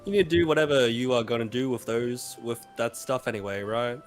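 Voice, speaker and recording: A man reading the lines of a deadpan, sleepy girl from a visual novel. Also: medium pitch, a little fast, close to an everyday voice. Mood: dry, dismissive. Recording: guitar music behind the voice.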